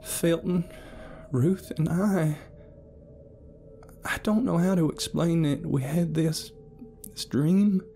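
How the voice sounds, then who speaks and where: A woman's voice: slow, pauses often, warm tone. A man voicing a defiant, frantic young woman who curses shrilly, over faint music. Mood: earnest. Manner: shrill and cursing.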